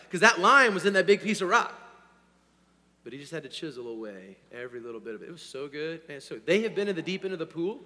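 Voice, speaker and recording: Hoarse, energetic pastor in a hall. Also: male voice, on the high side. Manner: energetic, swinging from loud to quiet, repeats "all right?". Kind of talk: sermon. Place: church sanctuary. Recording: long hall reverb.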